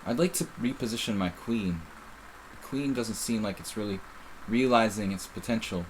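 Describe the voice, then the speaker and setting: A man's voice: fairly low pitch, moderate pace, slight background noise, low-quality recording. A middle-aged man with a faint Philadelphia accent, casually commenting on his own live chess game in a rambling, self-interrupting way. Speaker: chess streamer.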